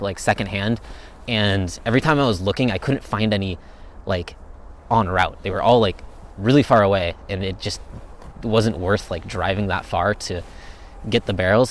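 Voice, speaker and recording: A young man talks in a loose, meandering way, answering questions off the cuff. His voice sits fairly low and relaxed. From United States. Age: young adult. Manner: laid-back, rambling, thinks aloud. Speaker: vlogger answering viewer questions. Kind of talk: casual Q&A chat.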